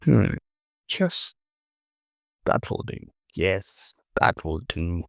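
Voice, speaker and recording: Guy doing a laid-back 3d tutorial, slow talker, croaky voice, mutters short bits over again. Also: low voice, very animated, fairly bright tone, no echo, clean studio sound. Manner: talks to himself while working, repeats short phrases. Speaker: 3D modeling tutorial presenter. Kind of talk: casual screen-recorded software tutorial.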